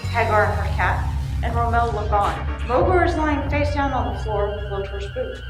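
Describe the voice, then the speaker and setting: A woman's voice: fairly high pitch, expressive, normal speed. A woman reading scripted narration for an action radio play. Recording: echoey room with music behind.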